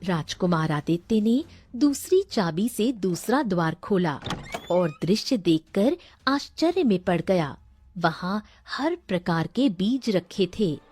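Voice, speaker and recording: A woman's voice: medium pitch, big pitch swings, takes it slowly, a little mellow, a little hiss or hum. A woman narrating a scripted fairy tale for a cartoon as a storyteller.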